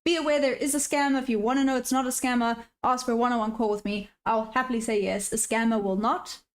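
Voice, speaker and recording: A woman's voice: fairly high pitch, steady tone, fast talker. A woman live-streaming her day trading, chatting casually and answering viewer questions as she goes. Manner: upbeat sign-off, friendly to viewers.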